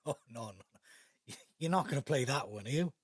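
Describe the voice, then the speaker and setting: An actor playing a bitter, mocking grown-up son, sarcastic and accusing, in a naturalistic audio drama. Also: male voice, mid-range voice, lively intonation, moderate pace, slightly rough, dry recording, clean studio sound.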